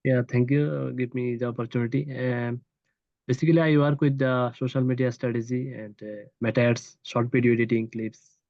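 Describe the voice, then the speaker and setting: A man's voice: medium pitch, flat delivery, normal speed, no echo. A man from Bangladesh with a Bangladeshi accent, a freelancer speaking hesitant English with many fillers on a video call. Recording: dull dry video call audio.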